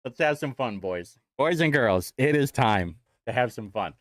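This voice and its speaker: Young Midwestern man, show host. Sarcastic, mocking delivery, lively pitch, dry close sound. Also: normal speed, no room sound. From Indiana, United States. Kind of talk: casual commentary show.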